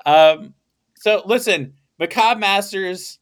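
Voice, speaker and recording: Young man, podcast host, somewhat high voice, rambling, profane, laughs a lot. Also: slow pace, background noise. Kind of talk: casual comedic podcast banter.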